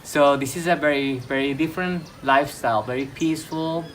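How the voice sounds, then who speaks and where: A young man from Mexico, Spanish-native with a Mexican accent, answering calmly with some hesitation. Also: a little high, brisk, a little hiss or hum. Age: young adult. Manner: calm, hesitant with ums. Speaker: interview guest. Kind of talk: casual interview talk.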